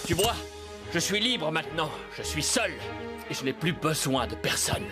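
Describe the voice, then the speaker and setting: A man voicing a proud high-voiced cartoon horse. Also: animated, moderate pace, music in the background, close to an everyday voice. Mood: proud, defiant. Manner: boastful, dramatic. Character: proud, headstrong young male horse craving freedom. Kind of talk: French cartoon dub acting.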